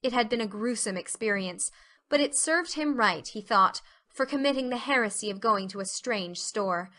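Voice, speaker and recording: A woman's voice: fairly high pitch, animated, moderate pace, pushed and bright. A woman narrating an audiobook story as a scripted dramatic reading.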